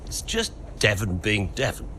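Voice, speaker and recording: A man playing a smooth, worldly middle-aged racing team boss in a video game cutscene. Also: lowish voice, huge pitch swings, moderate pace, pushed and bright, slight background noise, exaggerated voice. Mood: calm, persuasive.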